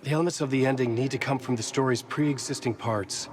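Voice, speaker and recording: A man's voice: mid-range voice, steady tone, fast talker, lightly acted. An actor playing a troubled male writer trapped in a horror game story, speaking with a grave, brooding intensity. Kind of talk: dramatic video game voice acting. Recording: game audio with clear background noise.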